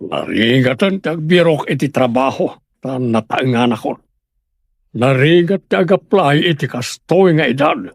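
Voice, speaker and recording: A man's voice: medium pitch, lively intonation, normal speed, vocal fry, no echo, clean studio sound. A man playing an earnest, dutiful grown son in a radio drama, with an Ilocano accent. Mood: concerned.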